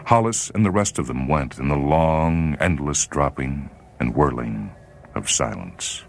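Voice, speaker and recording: Very deep-voiced male story narrator. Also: expressive, gravelly. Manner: grave, measured, theatrical emphasis. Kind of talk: dramatic scripted short-story narration. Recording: faint noise and ambient sound bed. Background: faint ambient sound.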